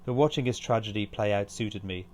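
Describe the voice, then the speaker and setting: A man's voice: on the low side, brisk, a little mellow. A man reading his own literary short story as scripted narration.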